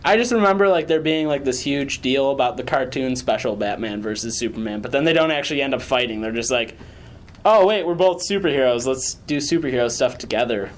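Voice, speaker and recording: A man's voice: mid-range voice, animated, a little fast. A young man co-hosting a podcast, chatting casually and joking around. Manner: joking, rambling, breaks into off-key singing. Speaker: podcast co-host. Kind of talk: casual chat. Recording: faint background noise.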